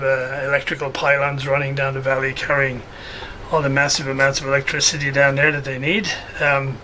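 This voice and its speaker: Bright-voiced man talking through a hobby project. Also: a little high, slight background noise, poor audio. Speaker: hobbyist video host. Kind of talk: casual unscripted project walkthrough.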